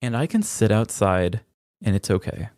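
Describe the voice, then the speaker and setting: A man co-hosting a podcast, telling stories in a joking, deadpan way. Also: on the low side, normal speed, no room sound, clean studio sound. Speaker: podcast co-host. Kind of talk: casual chat.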